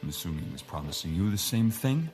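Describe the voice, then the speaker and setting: A man's voice: low-pitched, sing-song, fast, a little mellow, soft background music. A man playing a sardonic, condescending crime boss who speaks with formal politeness in an audio drama. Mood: sardonic, measured. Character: smooth, condescending male gangland crime boss.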